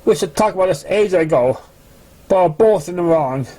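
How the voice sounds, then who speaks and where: A man's voice: sits high, expressive, normal speed, warm tone, voice pushed up, low-quality recording. A man voicing a blunt, honest country farm pony with a frank, folksy manner. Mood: reproachful.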